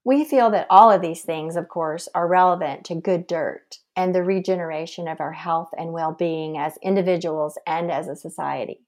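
A woman speaks in a slightly low voice. She delivers a prepared introduction as a podcast co-host, reading from a script. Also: normal speed, hardly any pauses.

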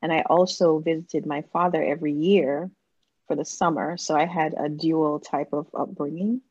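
A woman's voice: deep voice, steady tone, moderate pace, darkish tone, breathy edge, dry recording, highs cut off. A middle-aged woman with an accent that shifts between Jamaican and American, talking as a gracious, effusive podcast guest. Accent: Jamaican and American mix. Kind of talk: casual interview talk.

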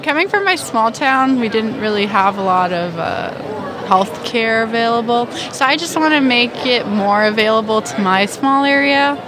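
A woman's voice: on the high side, expressive, moderate pace. Sincere young woman from Montreal. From Montreal, Canada. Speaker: university student. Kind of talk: vox pop interview. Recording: outdoor event with crowd chatter. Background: crowd chatter.

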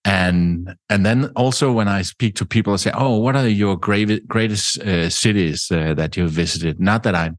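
Man, deep voice, close dry sound, halting casual travel chat. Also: dry recording. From Denmark.

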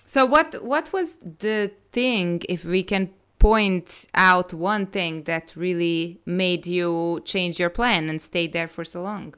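A woman's voice: fairly low pitch, slow pace, few pauses, a bit bright, smooth. A woman hosting an interview podcast, reading friendly introductions and asking questions with slight hesitation. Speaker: podcast host.

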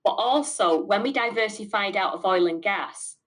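A woman's voice: medium pitch, normal speed, a bit bright. Middle-aged Mancunian woman, business owner, blunt and emphatic in interview. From north of England. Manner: emphatic, repeats words for stress. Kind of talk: candid interview answers.

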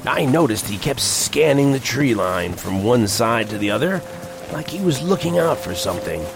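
An actor playing an eager, inquisitive young newspaper reporter in a western audio drama, a little hesitant and nervous. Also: male voice, medium pitch, sing-song, moderate pace, gravelly, over music. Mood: narrating, watchful. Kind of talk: western audio drama acting with first-person narration.

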